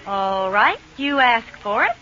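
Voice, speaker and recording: An actress playing a scatterbrained, demanding but timid American housewife in 1940s radio comedy, theatrical and exasperated, on an old recording. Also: female voice, on the high side, sing-song, measured pace, dull audio, heavy background noise. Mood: annoyed.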